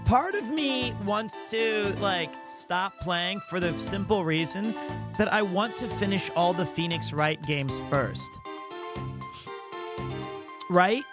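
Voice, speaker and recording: A man chatting casually on a livestream while he plays a video game. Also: high-pitched. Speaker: game streamer.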